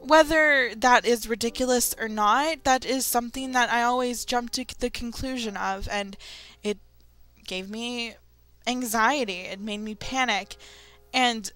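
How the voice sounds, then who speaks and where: A young adult woman giving a candid, confessional vlog talk, speaking haltingly and often repeating phrases. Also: fairly high pitch, a bit bright. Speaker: video creator. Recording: music behind the voice. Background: background music.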